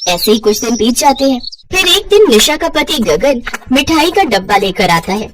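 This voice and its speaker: A woman's voice: fairly high pitch, very animated, normal speed, background noise. A woman storyteller reading a scripted moral story as its narrator.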